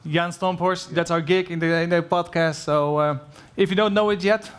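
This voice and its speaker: A man moderating a conference panel on stage, enthusiastic and quick to laugh at his own slips. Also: high voice, fairly even intonation, clear, resonant tone. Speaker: podcast host, panel moderator. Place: conference stage before an audience.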